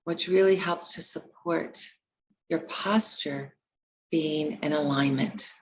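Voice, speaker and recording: A woman leading a guided meditation, giving gentle, unhurried instructions with long pauses between phrases. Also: low-pitched, slight background noise.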